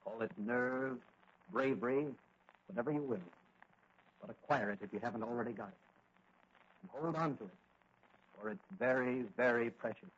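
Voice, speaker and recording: Man plays confident crime-fighting patrolman, hearty, slightly husky, muffled old-time radio sound. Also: mid-range voice, moderate pace, pauses often, natural voice. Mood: earnest, solemn. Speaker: lead actor in radio serial. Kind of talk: 1940s radio acting. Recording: muffled lo-fi vintage radio recording.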